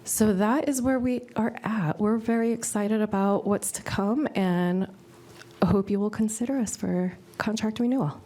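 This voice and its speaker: A woman presenting to a city council at a podium microphone, her voice a little scratchy from a cold, making an upbeat, earnest pitch with frequent ums. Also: moderate pace, mellow. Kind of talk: public presentation at a council meeting.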